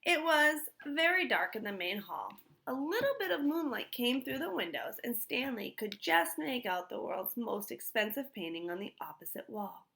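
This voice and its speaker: A woman reading a children's book aloud in an expressive storytelling style. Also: fairly high pitch, creaky. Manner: pauses to put questions to young listeners. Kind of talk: expressive read-aloud narration.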